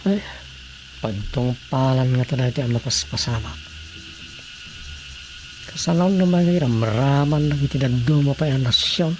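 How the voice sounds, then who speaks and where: An actor with an Ilocano Filipino accent playing a married writer who muses aloud to himself in a radio drama. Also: male voice, mid-range voice, moderate pace, long pauses, faint music behind, lightly acted, poor audio. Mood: musing, concerned. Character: married man, a writer musing on world troubles.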